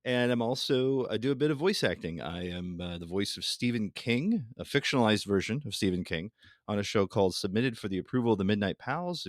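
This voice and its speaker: A man's voice: deep voice, dry recording, clean studio sound. A man chatting casually and playfully as a guest on a podcast.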